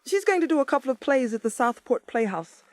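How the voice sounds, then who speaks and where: An actress in a 1980s radio drama playing a wistful, neglected wife with a wry, expressive manner. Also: female voice, high voice, a little fast, no room sound. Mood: tense, polite. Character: Carol, neglected wife and former set designer, wistful, bitter. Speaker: actress narrating and playing the lead.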